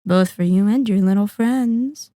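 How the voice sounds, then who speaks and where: A woman playing a possessive, sadistic female vampire in an ASMR roleplay, seductive, menacing and condescending, teasing and savoring each phrase with pauses. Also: medium pitch, dark tone, no echo, studio quality, lightly acted. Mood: amused, taunting. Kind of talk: scripted ASMR roleplay monologue.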